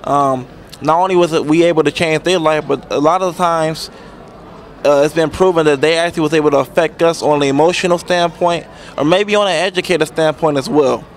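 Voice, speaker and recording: A man in his twenties, speaking earnestly in a halting way with many pauses and restarts about mentoring work. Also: fairly high pitch. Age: 20s. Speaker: interviewee, youth mentor. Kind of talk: unscripted talk about community programs. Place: outdoors at a transit center.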